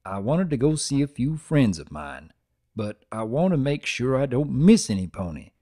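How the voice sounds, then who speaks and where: A man voicing a gentle, shy, laconic farm stallion, plain-spoken and folksy, in a story reading. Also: medium pitch, big pitch swings, moderate pace. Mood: gentle, awkward.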